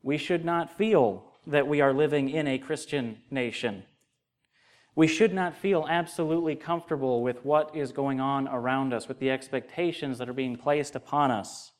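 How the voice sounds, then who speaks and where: Young Midwestern male pastor, earnest sermon delivery, mid-range voice. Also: medium pitch. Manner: earnest, measured, grows more emphatic. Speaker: associate pastor. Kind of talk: sermon with opening prayer.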